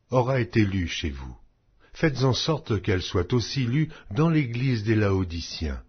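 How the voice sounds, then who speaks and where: A man narrating scripture from a script in a solemn, declamatory manner with measured phrasing. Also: lowish voice, husky, highs cut off. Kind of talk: scripted Bible reading.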